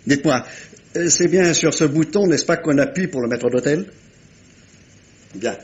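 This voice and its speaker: An actor playing a self-assured, politely probing little Belgian detective in a theatrical French radio mystery. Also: male voice, fairly high pitch, unhurried, a little mellow, breathy edge, muffled recording. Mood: probing, sly.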